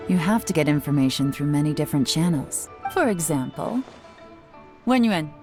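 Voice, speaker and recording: Cool, low-voiced spymaster woman. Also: lively intonation, moderate pace. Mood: calm, advising. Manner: composed, assured, instructing. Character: cool, composed, shrewd female intelligence chief. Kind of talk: video game voice acting. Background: game music.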